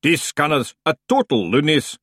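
A man's voice: on the high side, sing-song, normal speed, a bit bright, dry recording, studio quality, voice pushed up. A man voicing a surly, grumbling little voice hidden in a stranger's knee.

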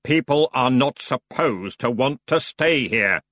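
A man voicing a grumpy ferryman of the dead who talks slowly and wearily. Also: on the low side, animated, bright, forceful tone, no room sound, clean studio sound, heavily acted.

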